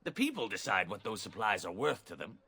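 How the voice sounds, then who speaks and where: A man's voice: on the low side, big pitch swings, brisk, sharp tone, breathy edge, dry recording, heavily acted. An actor playing an indignant, greedy male merchant in a video game, blustering and haggling.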